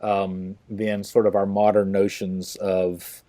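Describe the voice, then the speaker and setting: A man hosting a podcast interview, talking casually and haltingly with many fillers and restarts. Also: low voice, level tone, dark tone. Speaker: podcast host.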